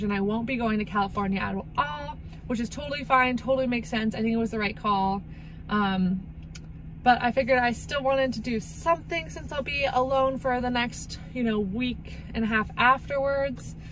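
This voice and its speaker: A woman's voice: a little high, moderate pace, fairly bright tone. A young American woman from Los Angeles chatting casually to a camera inside a car. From Los Angeles, USA. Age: young adult. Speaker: vlogger. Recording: clearly noisy car interior recording.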